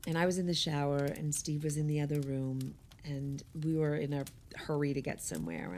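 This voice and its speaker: A woman's voice: sits low, mellow. A woman acting a wry, affectionate, sentimental friend in a natural radio play style. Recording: faint background noise.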